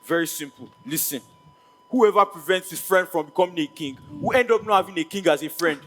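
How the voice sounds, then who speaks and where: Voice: mid-range voice, expressive, brisk, a bit bright, faint music behind. A performer with a Nigerian accent playing a scheming, defiant male chief in a stage play, speaking emphatically and insistently. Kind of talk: stage play acting, declamatory dialogue.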